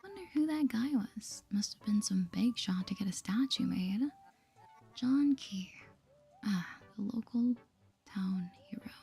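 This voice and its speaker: A woman speaking softly in a breathy whisper, chatting through an ASMR game playthrough. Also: medium pitch, lively intonation, unhurried. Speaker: gaming video host. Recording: soft music behind the voice. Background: music.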